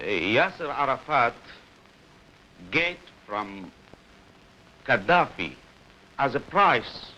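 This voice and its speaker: A man giving interview testimony in halting, broken English fragments, on dull old newsreel sound. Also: medium pitch, pushed and bright, slight background noise. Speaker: anonymous interviewee, former militant official.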